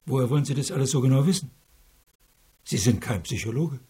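A man playing an indignant, blunt prosecutor in a radio crime drama, husky and curt, firing off challenging questions. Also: medium pitch, brisk, mellow. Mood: sceptical, challenging. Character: indignant, blunt male prosecutor demanding the death penalty.

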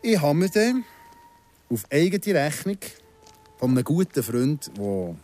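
An actor playing a vengeful, patient ex-convict in his thirties in a radio drama, speaking Swiss German in a deliberate, sardonic way. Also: fairly high pitch, big pitch swings, a little mellow, soft background music. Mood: grim satisfaction. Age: 30s.

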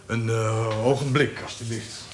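A man in a radio drama playing a deferential doorkeeper who speaks formally and haltingly. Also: fairly low pitch, steady tone, faint music behind, natural voice. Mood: deferential, hesitant. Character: hesitant, deferential male doorkeeper to nobility.

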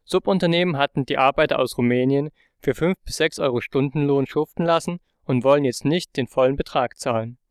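A man reading a scripted news introduction for a radio program in a clear, matter-of-fact way. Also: mid-range voice, a little fast, hardly any pauses, dry recording, studio quality. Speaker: radio presenter.

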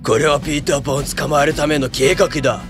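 A man's voice: a little high, fairly even intonation, fast talker, music in the background, lightly acted. A man playing a bossy, commanding fake pirate captain who insists on obedience in a storybook cartoon.

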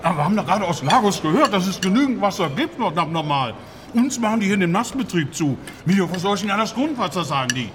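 A blustering pig farmer with a high voice that swings widely as he argues. He is defensive and aggrieved, speaking in a documentary-style radio play over clear background ambience. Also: expressive, fast talker, fairly bright tone, a little hiss or hum. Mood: angry, aggrieved. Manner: blustering, grumbling, swears.

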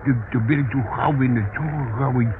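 An actor playing a grumpy old male tramp in a radio play, halting and repeating himself as he grumbles. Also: medium pitch, natural voice. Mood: rambling. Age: older. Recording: muffled narrowband old radio recording, noisy.

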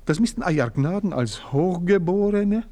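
An actor playing a proud, indignant manservant, speaking in a stilted formal way and bursting into outrage. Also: male voice, sits high, lively intonation, a little slow, warm tone. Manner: stilted formal speech, swings into outraged outbursts. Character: proud indignant middle-aged male manservant, pompous and wounded. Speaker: servant. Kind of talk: radio play acting.

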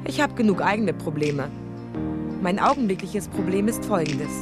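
Eine Frau als schnoddrige Zofe über lauter Musik. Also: female voice, fairly low pitch, expressive, moderate pace, lightly acted. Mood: dismissive. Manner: matter-of-fact, dismissive. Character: blunt, self-centred lady's maid telling her own troubles. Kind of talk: radio play acting. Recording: loud music behind the voice.

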